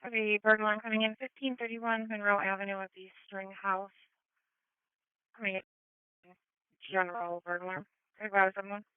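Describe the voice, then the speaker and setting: A female dispatcher gives clipped, procedural call details. Her voice is very clear and even, heard through a phone-like narrow radio channel. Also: medium pitch, normal speed, long pauses. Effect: two-way radio. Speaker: emergency dispatcher. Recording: thin narrowband scanner radio.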